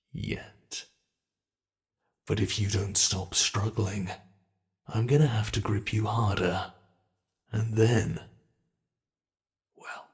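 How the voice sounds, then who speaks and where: Man voicing a slow, gravelly, predatory monster. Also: lowish voice, a little mellow, exaggerated voice. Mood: mock-patient menace. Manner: slow, menacing, playfully sinister, savoring pauses. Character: teasing predatory male monster who hunts humans. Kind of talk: intimate ASMR roleplay monologue.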